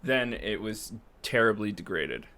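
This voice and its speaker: A man's voice: fairly low pitch, lively intonation, unhurried, bright, forceful tone. A man co-hosting a casual podcast, speaking bluntly and sardonically into a close desk microphone. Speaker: podcast co-host. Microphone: close USB desk microphone on an arm.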